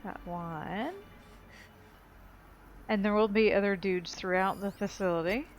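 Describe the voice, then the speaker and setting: A woman's voice: medium pitch, fairly even intonation, unhurried, a little hiss or hum. A woman gives casual gameplay commentary in an informal, wry way. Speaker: gaming video creator.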